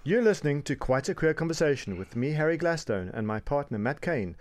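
A man's voice: on the high side, lively intonation, a little fast, no echo. A man in his forties from Cape Town with a South African accent, co-hosting a casual radio chat with dry humour. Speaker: co-host, business coach.

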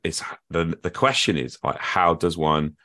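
A man's voice: low-pitched, animated, measured pace, sharp tone. A man hosting a podcast interview, musing in an earnest, reflective way. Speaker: podcast host. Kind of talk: conversational interview.

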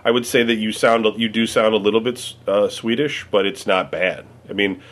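Low-voiced American man, rambling and profane. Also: steady tone, moderate pace, fairly bright tone. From United States. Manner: rambling, profane, many fillers. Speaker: interviewee. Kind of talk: casual chat.

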